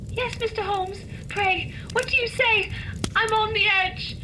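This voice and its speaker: Squeaky, eager comic sidekick. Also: female voice, fairly even intonation, unhurried, noisy background. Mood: eager suspense. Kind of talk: holiday radio play acting.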